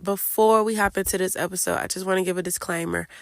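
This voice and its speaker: A woman's voice: fairly low pitch, fairly even intonation, fast, creaky, dry recording, clean studio sound. A woman hosting a casual solo podcast, sounding congested from a cold and chatting candidly with lots of fillers. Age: late 20s. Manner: chatty and candid, many fillers like "like" and "I don't know". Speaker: podcast host.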